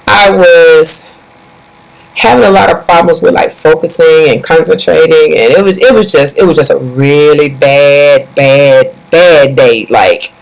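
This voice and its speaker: A woman's voice: low voice, a little slow, poor audio. A woman, chatting casually to her viewers and calling them y'all. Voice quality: raspy. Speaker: vlogger. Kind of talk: casual personal storytelling to viewers.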